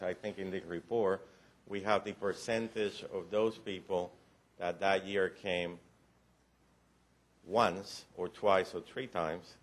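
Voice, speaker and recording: A man with a very low, deep voice and a Cuban accent gives a formal briefing in a county meeting room. He explains patiently, often restarting phrases, and adds a little light humor. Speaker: presenter to county commissioners.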